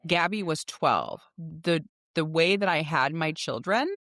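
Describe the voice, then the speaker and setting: A woman's voice: deep voice, a little slow, a bit bright, dry recording, clean studio sound. A woman in her forties co-hosting a podcast in a casual, candid and emphatic chat. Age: 40s. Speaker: podcast co-host.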